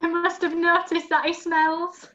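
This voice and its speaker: A woman playing a cheeky young lad who narrates a pantomime in lively rhyming verse. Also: very high voice, a little slow, lo-fi sound, faint music behind.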